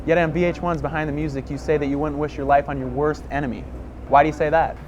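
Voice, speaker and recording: A man's voice: on the high side, little pitch movement, fast, muffled recording. A male reporter asking direct, scripted interview questions outdoors in a city. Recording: noisy street with passing traffic.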